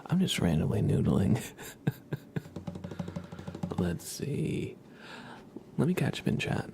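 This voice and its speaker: Soft, whispering ASMR streamer over guitar music. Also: sits low, sing-song, slow pace, creaky. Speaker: livestream host. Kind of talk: ASMR livestream, casual chat with viewers. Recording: music behind the voice. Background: plucked guitar playing.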